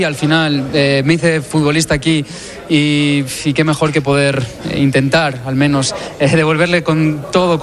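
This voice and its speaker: A footballer giving a halting post-match interview, full of fillers and restarts. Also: male voice, a little high.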